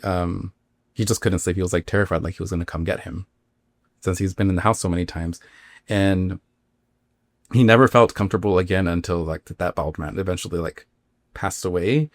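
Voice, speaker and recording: Low-voiced man casually telling a story. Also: no room sound. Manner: informal, halting, filler-heavy with acted-out quotes. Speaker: podcast host.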